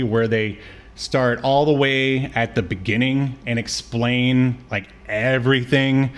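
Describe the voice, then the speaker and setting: Rambling man in casual podcast chat. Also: medium pitch. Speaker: podcast co-host. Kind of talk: casual chat about cartoons.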